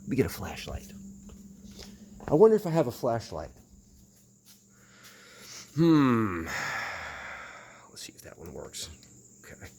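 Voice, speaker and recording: A man's voice: medium pitch, huge pitch swings, slow pace, long pauses, warm tone, a little hiss or hum. A man giving unscripted hands-on commentary as he inspects something, rambling hesitantly and muttering to himself. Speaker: vintage audio enthusiast presenting a video.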